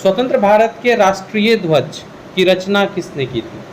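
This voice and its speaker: High-voiced man teaching a quiz lesson. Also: animated, normal speed, slight background noise, rough recording. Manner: explanatory, mixes English words into Hindi. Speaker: exam-prep history teacher. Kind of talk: quiz-style lesson, reading questions and explaining answers.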